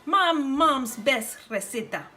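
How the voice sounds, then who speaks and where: Woman playing a high-voiced, plucky little storybook girl. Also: lively intonation, slow pace, pushed and bright, faint music behind, heavily acted. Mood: bold.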